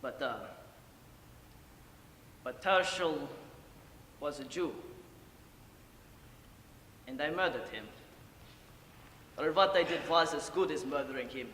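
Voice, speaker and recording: A man's voice: fairly high pitch, a bit bright. Young man playing a guilt-ridden old Ukrainian grandfather, echoey hall. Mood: guilty, haunted. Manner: halting broken English with sighs and murmured asides. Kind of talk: competitive dramatic monologue. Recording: echoey hall, distant, audible room noise.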